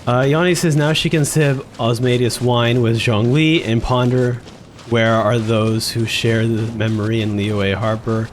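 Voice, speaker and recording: A man's voice: mid-range voice, fairly even intonation, unhurried, slight background noise. A man giving casual, joking, rambling live commentary as he streams a video game.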